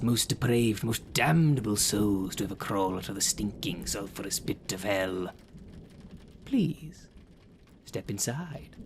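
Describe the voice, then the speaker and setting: A man's voice: on the low side, creaky, noisy background. A man playing a theatrical demon caretaker in an audio roleplay, formal and grandiose, with a dry sardonic salesman's manner. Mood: grandly menacing. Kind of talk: scripted audio roleplay, addressing the listener.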